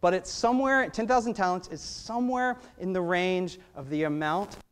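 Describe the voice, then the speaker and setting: Conversational American pastor at pulpit. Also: male voice, high voice, normal speed, clean tone. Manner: conversational preaching with rhetorical questions and animated hand gestures. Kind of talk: sermon. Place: church sanctuary at a pulpit. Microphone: gooseneck pulpit microphone.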